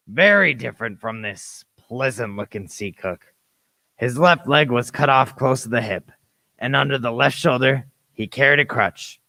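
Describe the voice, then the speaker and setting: A man's voice: mid-range voice, creaky, pushed and bright, full character voice. A man playing a cabin boy who narrates a sea adventure in a radio play, in scripted storytelling style.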